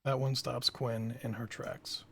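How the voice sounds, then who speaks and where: A very creaky male voice narrates stage directions at a script read. The tone is rough and husky, with a middle pitch. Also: little pitch movement, a little slow. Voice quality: gravelly, husky.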